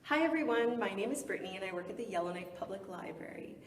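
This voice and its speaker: A woman's voice: medium pitch, expressive, fast talker. A woman reading aloud to children as a library story time host. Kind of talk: rhyming picture book read-aloud. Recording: echoey hall, distant mic, faint noise.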